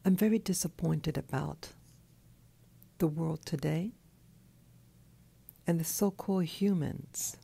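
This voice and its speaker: A woman who has just woken up, talking in a soft, hoarse, half-whispered voice from her bed, slow and halting, with a clip-on mic. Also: deep voice. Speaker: channel host. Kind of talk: early morning monologue. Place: in bed at night.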